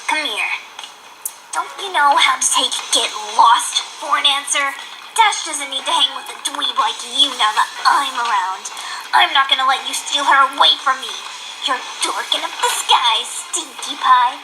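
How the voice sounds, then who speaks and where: A woman playing a tough-acting young female griffin, jealous but soft inside, in a cartoon audio drama. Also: sits high, normal speed. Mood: hostile, possessive. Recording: noisy playback of an audio drama.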